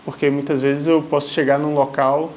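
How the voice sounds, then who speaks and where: A man's voice: a little high, little pitch movement, moderate pace, a little mellow. A man from Salvador, Brazil, who works as a live wedding painter, answering viewer questions to camera in a friendly, reassuring way and often checking in with the viewer. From Salvador, Bahia, Brazil.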